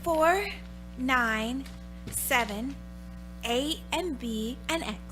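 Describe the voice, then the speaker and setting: An actress playing a careful younger little girl in a radio play, halting and painstaking as she tags along. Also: high voice, pushed and bright, faint music behind, full character voice, poor audio. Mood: careful, unsure. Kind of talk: live radio play acting.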